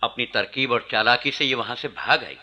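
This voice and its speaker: An actor playing an earnest, loyal court minister in a Hindi historical radio play, deferential, declamatory and solemn. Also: male voice, mid-range voice, moderate pace, sharp tone, muffled recording. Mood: deferential, pained. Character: earnest loyal court minister pleading before his ruler.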